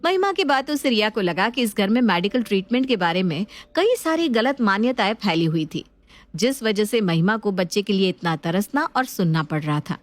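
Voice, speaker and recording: A woman narrating a family story in a steady, explanatory way. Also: medium pitch, moderate pace.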